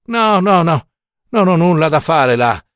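A man's voice: a little high, expressive, unhurried, a bit bright, dry recording, studio quality, pitched above the performer's own voice. A man voicing a bossy old woman from a storybook, insistent and urgent in manner. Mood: wheedling, bargaining. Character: bossy old woman tending Death's greenhouse.

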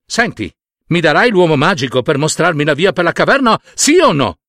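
A man's voice: a little high, huge pitch swings, moderate pace, fairly bright tone, no echo, studio quality. A man voicing a henpecked but stubborn husband in a folk tale, with an emphatic way of repeating words. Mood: demanding, threatening. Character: henpecked husband, meek with wife, stubborn when pushed.

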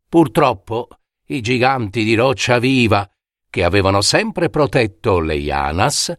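A man narrating a scripted fairy tale in a measured, dramatic way with deliberate pauses. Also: mid-range voice, fairly bright tone, no echo, studio quality. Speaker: storyteller.

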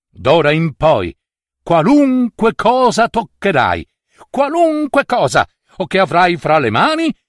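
A man voicing a solemn, all-powerful male god who speaks in a measured, grave way in a storybook tale. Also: a little high, fairly bright tone, dry recording, studio quality, full character voice.

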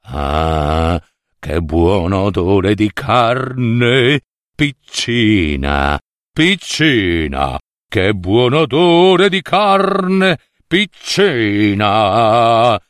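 A man's voice: lowish voice, sing-song, extremely slow, a bit bright, no room sound, clean studio sound, voice pushed down, full character voice. A man voicing a ravenous, evil ogre's daughter in beast form, growling and savouring her words in a storybook tale. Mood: hungry, menacing.

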